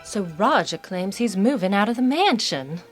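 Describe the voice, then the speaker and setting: A woman's voice: mid-range voice, animated, moderate pace, over music, close to an everyday voice. A woman playing a proud, defiant heiress in a melodramatic audio drama, speaking in an earnest, defensive, emphatic way. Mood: wary. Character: proud, defiant oil heiress, torn by family duty.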